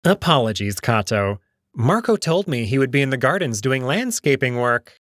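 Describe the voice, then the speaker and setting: A man's voice: medium pitch, lively intonation, moderate pace, a bit bright, dry recording, studio quality, natural voice. A man voicing a smug, suave young jackal prince in an audio drama, with airy, courtly, teasing politeness. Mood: feigned apology.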